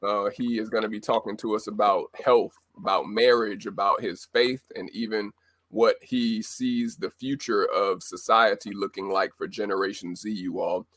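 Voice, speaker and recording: A man hosting a live audio show, upbeat and filling his pauses with "uh". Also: on the low side, fairly even intonation, fairly bright tone, no echo. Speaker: host.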